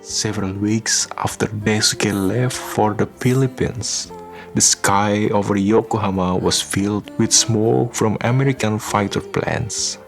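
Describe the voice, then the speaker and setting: A man's voice: lowish voice, steady tone, unhurried, vocal fry. A man reading scripted narration for a radio drama. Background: music.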